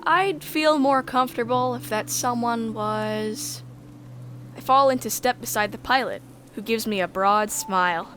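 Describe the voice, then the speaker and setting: A woman narrating a sci-fi visual novel in the first person, measured and descriptive. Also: on the high side, fairly bright tone, slight background noise.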